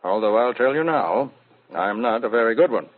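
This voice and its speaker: Husky, animated theater producer, played by a man. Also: mid-range voice, moderate pace, close to an everyday voice. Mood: frank, wry. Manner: brusque, emphatic, frank. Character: brusque, urbane, self-assured male theater producer. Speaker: radio drama actor. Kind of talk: old-time radio mystery acting. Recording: dull, muffled old radio recording.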